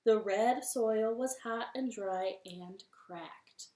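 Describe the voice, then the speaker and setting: A woman's voice: mid-range voice, slow pace, warm tone, reverberant. A woman hosting a library storytime, reading to children in a cheerful, sing-song way from her living room.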